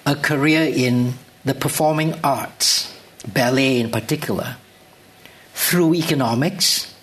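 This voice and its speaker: A man hosting a formal on-stage interview, introducing his guest with long, measured, listing questions. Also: fairly high pitch, fairly bright tone. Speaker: event host and interviewer. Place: lecture hall with live audience.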